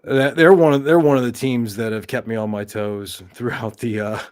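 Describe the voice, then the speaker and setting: A young man co-hosting a live high school football show, talking in a loose, rambling way with many fillers and losing his voice. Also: fairly even intonation, moderate pace, darkish tone. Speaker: co-host. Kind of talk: live sports show chat.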